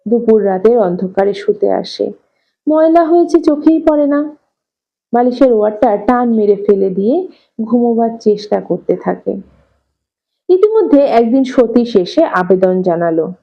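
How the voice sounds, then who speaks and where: Female audiobook narrator: highish voice, slow expressive literary delivery, slightly muffled sound. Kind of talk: expressive literary story reading.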